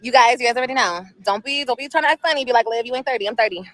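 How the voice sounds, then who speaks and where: A woman's voice: a little high, rapid-fire, a bit bright, rough recording. A woman in her thirties chatting casually on a livestream outdoors at sunset, emotional and rambling, repeating herself often. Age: 30s. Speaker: vlogger.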